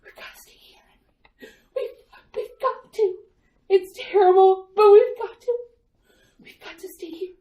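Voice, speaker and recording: High-pitched frantic housewife, acted by a woman, roomy recording. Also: steady tone, measured pace, long pauses, highs cut off. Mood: pleading, fearful. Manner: breathless, stammering, pleading, hysterical. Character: terrified frantic housewife and mother, desperate to hide. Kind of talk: old-time radio drama acting. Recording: roomy, noisy amateur radio drama recording.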